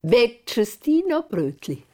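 An older woman with a Swiss German accent playing a gruff, teasing village grandmother in a radio play, curt and matter-of-fact. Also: on the high side, very animated, measured pace, dark tone.

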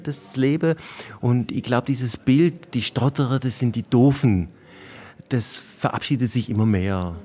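A man's voice: mid-range voice, mellow. A man speaking as an expert guest in an interview, earnest and sprinkling in fillers. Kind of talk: interview statement.

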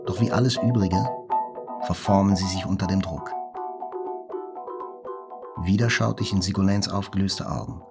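An actor playing a wounded journalist who recalls an attack in a measured, reflective, scripted narration. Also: male voice, sits low, steady tone. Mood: sober, reflective. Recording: prominent music under the narration.

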